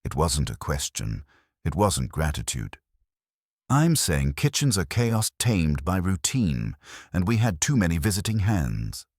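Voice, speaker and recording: A man voicing a blunt, sharp-tongued woman baker in a mystery audiobook, with a curt, pointed manner. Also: low voice, big pitch swings, measured pace, no echo, studio quality, full character voice. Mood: cool, accusing.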